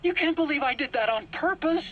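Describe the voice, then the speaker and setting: A man's voice: very high voice, animated, fairly quick, phone-quality sound. An actor playing an anxious, self-pitying male scientist in a video game cutscene, pleading and stammering indignantly in his own defense. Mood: defensive pleading. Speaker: accused scientist under interrogation.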